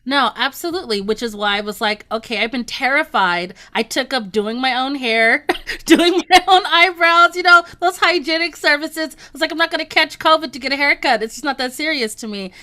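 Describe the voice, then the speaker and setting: An upbeat, expressive American woman chatting casually as a talk show co-host. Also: high voice, brisk. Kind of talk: casual chat.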